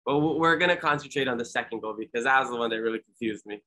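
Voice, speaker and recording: Bright-voiced young man. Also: medium pitch, racing. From Hawaii. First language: Hawaiian. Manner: easygoing, restarts often, asks the audience questions. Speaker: returned volunteer speaker. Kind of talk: casual presentation on a video call. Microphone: video call webcam.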